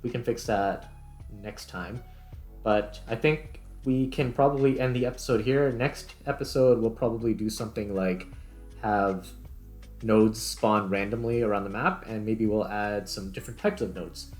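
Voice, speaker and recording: A man's voice: fairly low pitch, moderate pace, darkish tone, faint music behind. A man hosting casually, thinking aloud in informal speech as he comments on his screen recording. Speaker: video host, game developer.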